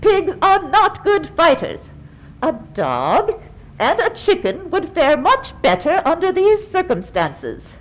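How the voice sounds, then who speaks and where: Lady doing a snooty fussy girl pig, high and swoopy, slow grand delivery, puppet show. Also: wildly swooping pitch, full character voice. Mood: pompous, worried. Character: prim, haughty female farm pig, easily exasperated. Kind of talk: puppet show storytelling for children.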